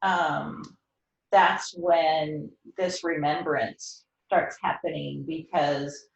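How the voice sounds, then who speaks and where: Slow, low, creaky-voiced middle-aged woman. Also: steady tone. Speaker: host reading and discussing poetry. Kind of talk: reflective, intimate conversation with poetry reading. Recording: roomy, slightly dull sound.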